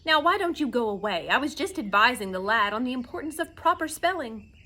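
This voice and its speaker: Storybook spelling bee: male, prim, testy, easily worked up, forceful bright tone, voiced by a woman. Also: on the high side, sing-song, a little fast, exaggerated voice. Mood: sneering. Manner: emphatic, spells words out letter by letter.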